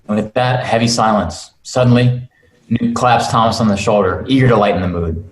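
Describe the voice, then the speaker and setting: A man's voice: lowish voice, fairly quick. A man narrating a script at a table read, delivering stage directions in a dramatic style. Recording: echoey room.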